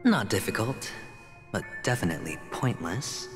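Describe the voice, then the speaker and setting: Cocky, swooping young-gun gambler. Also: male voice, fairly low pitch, big pitch swings, moderate pace. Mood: smug. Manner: theatrical, self-assured. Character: smooth, cocky gambler prisoner, bold and sly. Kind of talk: video game voice acting. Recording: reverberant, with music underneath.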